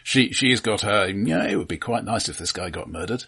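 A man's voice: on the low side, normal speed, fairly bright tone, breathy edge. A man co-hosting a podcast, talking thoughtfully about a film in casual chat, often repeating his first words. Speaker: podcast co-host.

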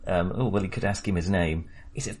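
A man co-hosting a podcast, chatting about a film in a wry, animated way. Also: sits low, a little fast. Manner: chatty, wry, animated when making a point. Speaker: podcast co-host. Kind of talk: casual film discussion.

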